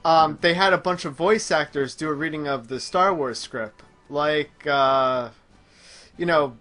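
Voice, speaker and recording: A man's voice: a little high, sharp tone. A man hosting a gaming show, chatting casually and commenting live as he plays. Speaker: gaming show host playing a video game. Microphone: microphone on a group internet voice call.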